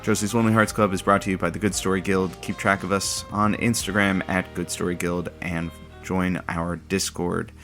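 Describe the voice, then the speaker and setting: An awkward, easygoing studio sidekick talks in a bright, slightly low voice. He is casual and conciliatory, in a radio drama with faint music behind him. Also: level tone, normal speed, few pauses. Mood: matter-of-fact. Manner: hesitant, placating. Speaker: radio co-worker who reads the credits.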